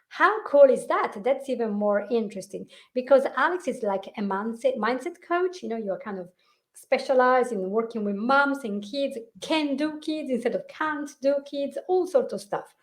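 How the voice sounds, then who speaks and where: A woman with a high, light voice hosts a relaxed live-stream conversation. She talks chattily, often repeating a word as she restarts. The sound is a plain video-call recording. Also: normal speed. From Italy. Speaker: host, hypnotherapist. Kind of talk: casual live-stream chat.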